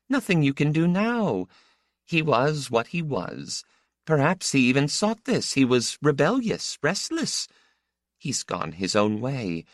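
Casting: a man to play an elderly storybook mother, pitched high, soft and exultant, soothing with a possessive pull. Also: dry recording, studio quality, exaggerated voice.

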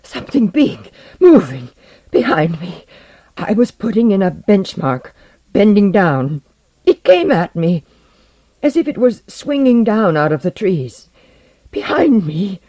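A narrator voicing a frightened, rattled male scientist who talks in breathless, halting, emphatic bursts. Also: medium pitch, big pitch swings, gravelly, mellow, breathy edge, full character voice. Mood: terrified.

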